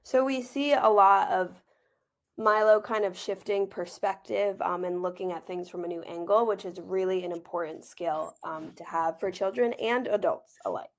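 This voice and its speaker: A woman reading a children's picture book aloud as author and teacher, gentle and expressive, with informal asides. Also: medium pitch, moderate pace.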